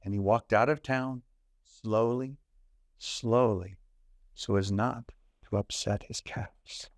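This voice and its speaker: A man reading a children's story aloud in deliberate, expressive phrases with dramatic pauses. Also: fairly low pitch, no echo, clean studio sound. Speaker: storybook reader.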